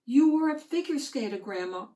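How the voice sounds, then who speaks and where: Lady doing a determined little storybook boy, higher voice, earnest n insistent. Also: expressive, normal speed, warm tone. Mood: wondering.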